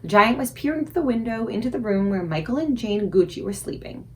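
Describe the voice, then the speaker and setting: A woman reading a children's story aloud expressively, with dramatic, suspenseful emphasis and deliberate pauses. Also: fairly high pitch, lively intonation, darkish tone. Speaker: librarian reading aloud for a book club.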